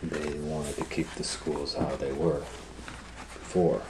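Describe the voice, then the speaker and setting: A man reading a children's book aloud as a storytime educator, in simple, deliberate phrases with explanatory asides. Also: extremely low pitch, flat delivery, background noise.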